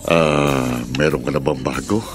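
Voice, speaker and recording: A man's voice: a bass voice, steady tone, unhurried, over music. A man with a Filipino accent playing a rich, jovial, lecherous brothel customer in a radio drama. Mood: jovial, sly. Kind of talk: Philippine radio drama acting.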